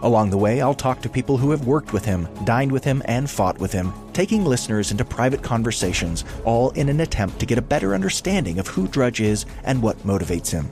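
A man's voice: medium pitch, big pitch swings, fairly quick, few pauses, music in the background. A man reading a scripted promo as a podcast host.

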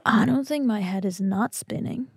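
A woman in her twenties from Armenia with an Armenian accent, a native Armenian speaker chatting casually as an interview guest, full of "like" and "you know" and quick to laugh. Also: mid-range voice, vocal fry, slightly rough, no echo, studio quality. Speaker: guest singer-songwriter.

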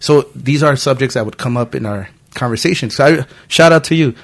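A man's voice: medium pitch, a little fast, gravelly. A man in his thirties hosting a casual podcast chat, telling stories with lots of swearing and acting out what friends said. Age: 30s. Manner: animated storytelling, acting out quoted friends. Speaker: podcast host.

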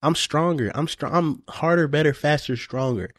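A man's voice: fairly high pitch, normal speed, dry recording, studio quality. A man co-hosting a podcast, telling stories in a laid-back casual chat full of "bro" and "you know". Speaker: podcast co-host.

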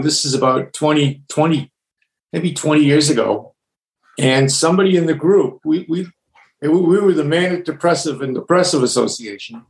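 American man telling stories in an echoey room. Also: on the high side. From United States. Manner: relaxed storyteller, halting with restarts, laughs easily. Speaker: guest, laughter yoga leader. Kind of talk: casual conversation. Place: home with household noises.

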